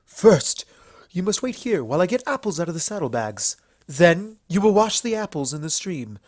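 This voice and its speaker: A man's voice: sits high, sing-song, normal speed, no echo, pitched above the performer's own voice. A man voicing a playful, imperious female alicorn pony princess in a story reading, with formal, grandiose speech. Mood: mock menacing. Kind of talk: fan fiction character reading.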